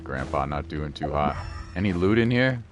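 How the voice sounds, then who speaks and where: A man with an extremely deep voice streams a game. He comments casually and offhandedly as he plays, with faint music in the background. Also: expressive, moderate pace. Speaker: gaming streamer.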